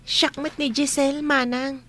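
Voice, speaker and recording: A woman's voice: sits high, flat delivery, measured pace, a bit bright. A Filipino woman playing a polite, naive young housemaid in a radio comedy drama. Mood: friendly. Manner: meek, respectful.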